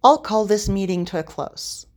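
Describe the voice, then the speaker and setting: A woman's voice: medium pitch, moderate pace, natural voice. A woman voicing a proud, grumpy young male king in a story, with an indignant, commanding, snappish manner. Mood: stern.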